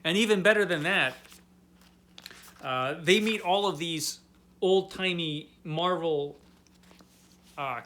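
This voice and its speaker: Male review host, higher-pitched and forceful, casual and tongue-in-cheek, leans hard on key words. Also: a little hiss or hum. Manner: animated, emphatic with drawn-out key words; tongue-in-cheek, mock-baffled. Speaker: host of a comic book review series. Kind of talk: casual comedic comic book recap, talking to viewers.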